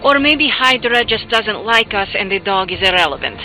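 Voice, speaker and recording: Bright, cheeky superheroine. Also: female voice, mid-range voice, lively intonation, normal speed, faint music behind, low-quality recording. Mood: teasing. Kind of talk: video game character dialogue.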